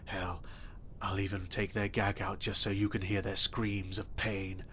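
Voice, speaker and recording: A man playing an obsessive, possessive stalker in an audio roleplay, speaking softly and intimately with a threatening edge. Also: sits low, little pitch movement, unhurried, a little hiss or hum. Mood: cold menace. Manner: soft, intimate and menacing, bursting into threats. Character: obsessive, possessive male stalker kidnapper, adult.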